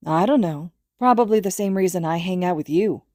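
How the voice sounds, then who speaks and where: Woman as a chirpy young teen boy, earnest, eager, helpful, expressive audiobook character, dry close sound. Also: on the low side, fairly quick, no room sound, close to an everyday voice. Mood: cheerful.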